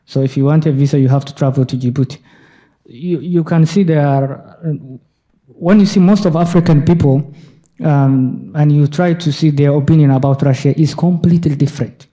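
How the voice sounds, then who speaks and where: A man from Somalia speaks up from the audience at a lecture. His voice sits a little high yet sounds very dark and mellow. He talks haltingly, with many fillers and restarts. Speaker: audience member asking a question. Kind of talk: lecture Q&A comment.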